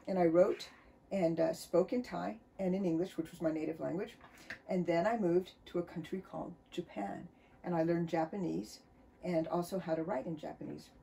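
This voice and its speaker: A middle-aged woman from the United States, a children's author, chatting and reading a storybook aloud in her book-filled home studio with a cheerful, expressive storytime manner. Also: fairly low pitch, gravelly, slight background noise. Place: home studio full of books, indoors.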